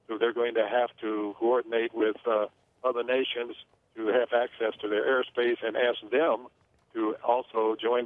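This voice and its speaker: A man speaking as an aviation analyst in a news interview, measured and hesitant with many fillers. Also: mid-range voice, dry recording. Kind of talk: phone-in expert interview. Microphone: phone line. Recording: tinny phone line, dry.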